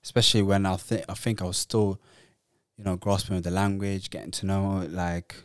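A man's voice: deep voice, little pitch movement, a little fast, dry recording, studio quality. A man who grew up partly in the Netherlands, chatting casually and rambling with fillers and restarts. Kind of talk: casual chat.

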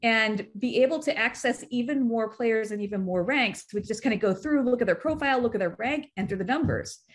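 Woman podcast guest, highish voice, explains stuff step by step in lots of detail. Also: a little fast. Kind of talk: explanatory interview talk.

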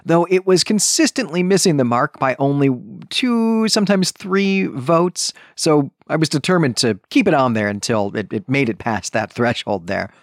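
A man's voice: on the high side, lively intonation, normal speed, few pauses, dry recording, studio quality. A man hosting a solo book club podcast, talking conversationally with self-correcting asides. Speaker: podcast host.

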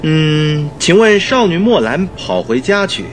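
A man playing a thoughtful, modest doctor and detective's companion in a Mandarin radio drama, pondering deliberately. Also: a little high, big pitch swings, slight background noise.

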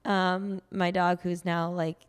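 A woman hosting a podcast interview, hoarse, chatty and upbeat with frequent filler words. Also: fairly low pitch, little pitch movement, slow pace. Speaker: podcast host.

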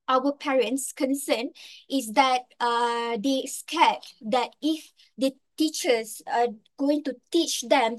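A young Malaysian woman with a Malaysian accent, giving her opinion on a podcast panel and talking thoughtfully, with frequent fillers and "right?" tag questions. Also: high voice. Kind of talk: opinion discussion.

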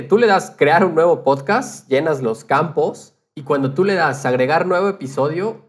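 A man podcaster chatting casually and explaining things conversationally, checking in with his listener as he goes. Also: on the high side.

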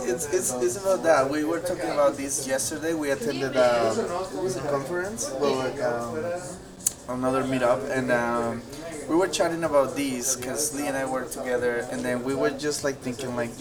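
Interviewer, distant amid loud chatter. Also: mid-range voice, normal speed. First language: Spanish. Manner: restarts questions, repeats answers back.

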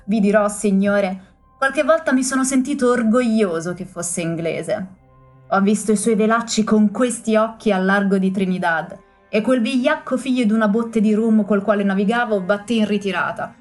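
Brief: a woman playing a blustering, boastful gentleman, loud and raised in pitch, faint music under. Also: natural voice. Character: blustering hot-tempered gentleman, a man. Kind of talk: audiobook character voice.

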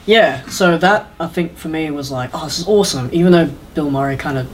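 A man's voice: fairly high pitch, fairly quick, a little hiss or hum. Laid-back man chatting on a podcast. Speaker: podcast co-host. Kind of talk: casual podcast chat.

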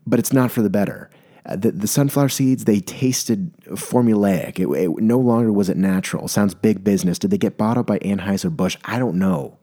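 A man's voice: fairly low pitch, a little fast, mellow. A young man telling a rambling, mock-serious comedic story, often stopping to correct himself. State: maybe congested. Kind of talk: comedic monologue.

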